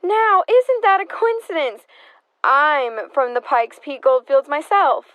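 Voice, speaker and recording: A nosy, slangy frontier newspaperwoman in an audio drama, played by a young woman. She is bold, breezy and pushy, with a high voice. The sound is close and free of room echo. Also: slow, a bit bright. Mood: chummy, wheedling. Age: young adult. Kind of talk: stage play acting in an audio drama.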